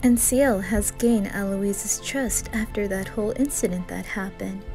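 A woman's voice: mid-range voice, unhurried, mellow, soft background music. A woman narrating a story as its storyteller.

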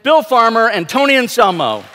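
A man's voice: very high-pitched, very animated, moderate pace, pushed and bright. A middle-aged man hosting a live stage event with enthusiasm through a hall PA. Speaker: stage host. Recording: large hall PA, crowd behind.

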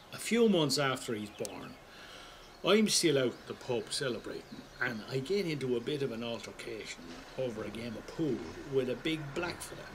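A man's voice: medium pitch, fairly bright tone, a little hiss or hum. An actor playing a crusty older Irish father, rambling and sweary, reminiscing conversationally in an audio drama monologue. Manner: rambling, colloquial, salty storytelling.

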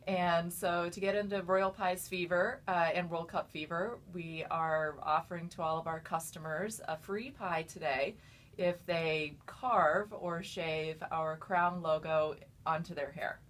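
A woman's voice: on the low side, fairly even intonation, a little slow, a bit bright. A young American woman with hesitant delivery and nervous chuckles, talking casually as a bakery owner promoting her business in a radio interview. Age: young adult. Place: radio studio. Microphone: studio boom microphone with headphones.

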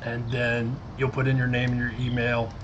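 A middle-aged American man giving a casual, explanatory screen-share software tutorial. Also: medium pitch, completely flat delivery, moderate pace, highs cut off, background noise. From United States. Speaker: tutorial presenter. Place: indoors at a computer desk. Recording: loud guitar music behind the voice. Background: loud guitar music.

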